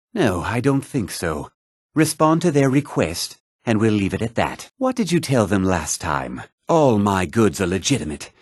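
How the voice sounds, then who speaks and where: An actor voicing a haughty, scheming fantasy townsman in a video game, with a husky voice and theatrical, condescending phrasing. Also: on the low side, a little mellow, dry recording, studio quality. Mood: curt, aloof. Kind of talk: video game character dialogue.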